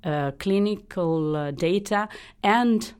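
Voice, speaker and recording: A middle-aged woman with an Italian accent, a hematologist guest in a radio interview, speaking thoughtfully with many pauses and fillers. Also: medium pitch, a little mellow, clear, resonant tone. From Italy. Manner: thoughtful, pausing with frequent uh fillers.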